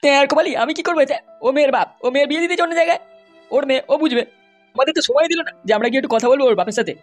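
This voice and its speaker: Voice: high voice, racing, soft background music, close to an everyday voice. A performer voicing a blunt, dismissive father in a cartoon, scolding his son. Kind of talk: cartoon voice acting.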